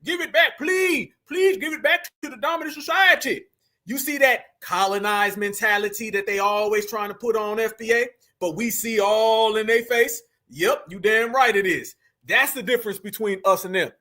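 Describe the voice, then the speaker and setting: A man's voice: sits high, moderate pace, pushed and bright. A man giving heated reaction commentary, speaking straight to his audience as family in a fired-up, preaching way. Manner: fired-up, addresses audience directly as "fam" and "y'all". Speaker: online commentator.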